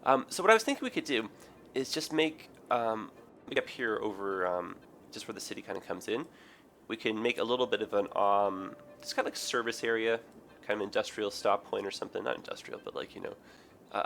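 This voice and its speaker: A man with a raspy, hoarse, tired voice, rambling through casual game commentary with many ums and self-corrections. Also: fairly low pitch, expressive, fairly quick, fairly bright tone, a little hiss or hum. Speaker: gaming video host. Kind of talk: casual let's play commentary.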